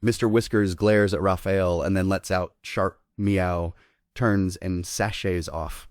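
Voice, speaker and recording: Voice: low-pitched, steady tone, takes it slowly, no room sound, clean studio sound. A narrator reading scripted stage directions at a theatre table read.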